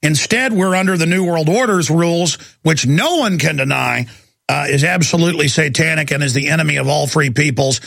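A man's voice: fairly high pitch, brisk, creaky, a bit bright, dry recording. An American man hosting a live political call-in radio show, talking in an emphatic, opinionated way. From United States. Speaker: talk radio host.

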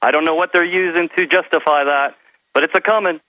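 Indignant male caller on a dull, dry phone line, slightly high voice. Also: fairly even intonation, fairly quick, no room sound. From North Carolina. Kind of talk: calling in to talk radio.

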